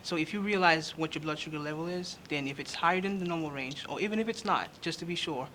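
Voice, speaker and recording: A young male nursing student speaking earnestly in an interview, pausing often with fillers. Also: a little high, bright, forceful tone, a little hiss or hum. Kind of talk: on-camera interview. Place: state house conference.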